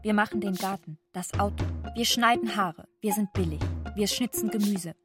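A plainspoken migrant worker woman in a radio play chorus, played by an actress. Her mid-pitched voice gives short, list-like statements with music clearly behind her. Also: normal speed, natural voice. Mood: plain. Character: plainspoken choral voice of undocumented migrant women. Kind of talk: radio play choral recitation.

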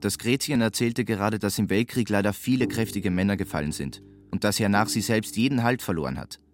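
A man narrates a literary story, relaying what its characters say. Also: fairly low pitch. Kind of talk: literary narration in reported speech. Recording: music behind the voice. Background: music.

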